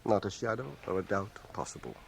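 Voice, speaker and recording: An actor playing a reserved, dry-witted schoolmaster of about sixty in a radio drama, speaking in a measured, understated way. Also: male voice, sits low, sing-song, gravelly, heavily acted, poor audio. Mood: wry. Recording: faint hiss, home cassette radio transfer.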